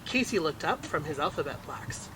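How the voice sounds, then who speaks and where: An expressive picture book reader with a mid-pitched voice. The narration is animated and theatrical in its swings, over clearly audible noise. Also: moderate pace. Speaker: storytime reader.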